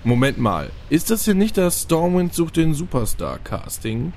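An actor playing an earnest would-be musician who pleads for a chance at an audition. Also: male voice, a little high, very animated, unhurried, darkish tone, slight background noise. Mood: pleading. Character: hopeful young male singer auditioning.

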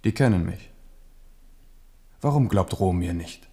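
A man's voice: deep voice, animated, unhurried, dark tone. An actor in a radio drama playing a proud, shrewd king, confident and parrying in his manner. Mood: wry, parrying. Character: proud, shrewd, self-assured French king. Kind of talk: 1970s German radio drama acting.

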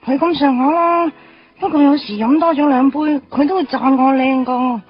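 A woman's voice: sits high, fairly even intonation, normal speed, lo-fi sound, soft background music, close to an everyday voice. An actress with a Hong Kong Cantonese accent playing a playful, candid young woman in a radio drama, casual and teasing. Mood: coy, teasing.

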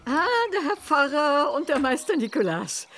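High-voiced housekeeper. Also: female voice, expressive, moderate pace, noisy background. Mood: welcoming. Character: busy housekeeper welcoming visitors. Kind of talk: radio drama acting.

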